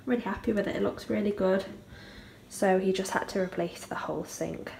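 A young woman chatting casually to her phone camera in her bedroom, often keeping her voice hushed. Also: mid-range voice, steady tone, brisk, creaky, a little hiss or hum. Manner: soft chatty, often hushed to a near whisper. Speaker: vlogger. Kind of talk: casual vlog chat. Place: bedroom, indoors. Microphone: phone held at arm's length.

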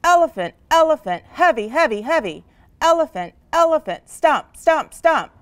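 A woman's voice: sits high, very animated, normal speed, dry recording, voice pushed up. A woman voicing a big, boastful, bossy elephant in a children's story, with emphatic delivery. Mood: chanting, excited. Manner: emphatic, chanting, declaring.

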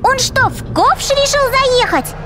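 A woman voicing a curious, excitable little girl sidekick in a children's cartoon, exclaiming and asking questions. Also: extremely high pitch, a little slow. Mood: excited. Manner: exclamatory, inquisitive. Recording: noisy engine sounds behind.

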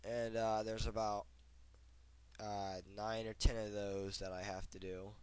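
A young man with a head cold talks through a software tutorial in a loose, rambling way. His voice sits very deep, and he fills pauses with ums and uhs. He sounds like a casual home creator at his desk. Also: level tone, smooth, dry recording. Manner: casual, rambling with fillers and restarts. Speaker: tutorial host. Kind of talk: casual screen-recorded tutorial.

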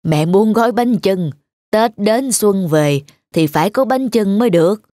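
A woman playing a gentle, nostalgic elderly grandmother in a cartoon, speaking in a tender, homely, wistful way. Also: mid-range voice, lively intonation, a little slow, no echo, studio quality, close to an everyday voice. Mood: earnest. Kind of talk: animated cartoon dialogue.

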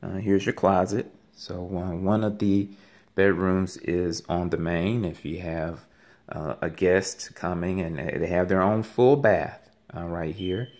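A man gives a casual walking tour of an empty house as a realtor, talking haltingly with many ums and uhs, filmed on a handheld phone. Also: low-pitched, fairly even intonation, darkish tone. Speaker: realtor giving a home tour. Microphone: handheld phone camera. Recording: echoey empty rooms.

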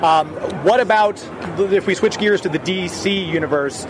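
Man, eager interviewer, slightly higher voice, loud convention noise behind. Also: normal speed. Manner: enthusiastic, chatty questioner. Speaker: podcast host interviewer. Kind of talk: casual interview. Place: convention floor.

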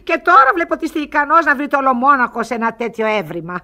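High-voiced wry older lady. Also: big pitch swings, bright, forceful tone, exaggerated voice. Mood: wry resignation. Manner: theatrical, formal. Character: older aristocratic lady, wry, exclaiming, worldly. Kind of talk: radio theatre comedy acting.

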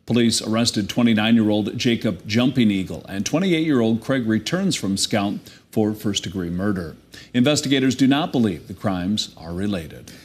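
Low husky male news anchor. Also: lively intonation, normal speed. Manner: crisp formal delivery. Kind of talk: scripted news reading. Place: TV news studio.

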